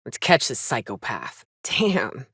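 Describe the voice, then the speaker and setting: An actress playing a tough, wry, hard-drinking space trailblazer in a video game, with a sardonic, folksy and salty-tongued manner. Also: female voice, very deep voice, very animated, slow, fairly bright tone, slightly rough, no room sound, studio quality, full character voice. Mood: dry, watchful. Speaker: companion character in a video game. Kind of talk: video game voice acting, short reactive lines.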